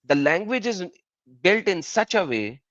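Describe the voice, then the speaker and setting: A man whose first language is Urdu, hosting a live call-in stream in casual English. He explains with many fillers and an animated delivery. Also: high voice, a little slow, no echo, highs cut off.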